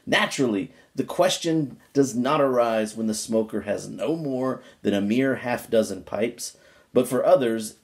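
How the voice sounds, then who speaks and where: A man's voice: medium pitch, darkish tone. A man giving an amateur dramatic reading of an old book, stressing words emphatically and adding wry asides.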